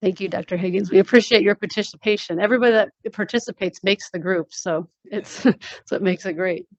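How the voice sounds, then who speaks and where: A woman with a mid-pitched voice speaks as a symposium host. She responds informally and without a script to those taking part. The recording is somewhat dull and lo-fi. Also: fairly quick. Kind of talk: informal panel discussion and answers. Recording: dull lo-fi audio.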